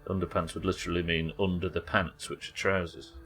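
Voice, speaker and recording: A man's voice: deep voice, level tone, a little fast, a bit bright, faint music behind. A young British man hosting a live gaming stream, casually rambling and reading chat messages aloud as he plays. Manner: rambling, reads chat messages aloud and muses over them. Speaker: streamer host.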